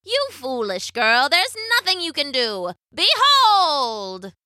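A woman voicing an ancient, menacing storybook witch who croaks and taunts in a slow, drawn-out way. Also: sits high, huge pitch swings, sharp tone, no echo, studio quality, pitched above the performer's own voice, full character voice. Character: ancient crone witch, menacing, gloating, cackling villain. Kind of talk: storybook villain voice.

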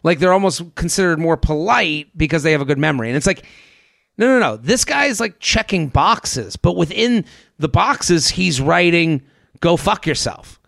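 A man with a crackly, fry-edged voice hosts a casual comedy advice podcast. His pitch sits a little above average and he riffs loosely, repeating himself for emphasis. The sound is dry and close. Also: expressive, moderate pace, fairly bright tone, no echo. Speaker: podcast host. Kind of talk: casual comedic advice chat, sometimes reading listener emails.

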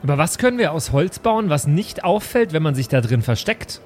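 A man playing a naive, trusting, well-meaning fellow in an improvised tabletop game, speaking haltingly and earnestly. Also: a little high, very animated, a little mellow, slight background noise. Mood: earnest, puzzled.

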